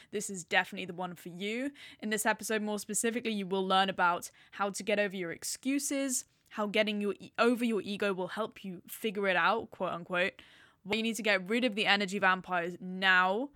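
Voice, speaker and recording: A woman's voice: mid-range voice, fairly bright tone, no room sound, clean studio sound. A woman hosting a podcast, chatty and bubbly, joking at her own expense while introducing her guest. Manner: bubbly, chatty, self-mocking. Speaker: podcast host.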